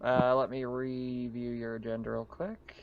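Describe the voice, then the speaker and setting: A man's voice: mid-range voice, flat delivery, measured pace, phone-quality sound. A man chatting casually as game master of a tabletop roleplaying session, with a jokey manner and frequent chuckles.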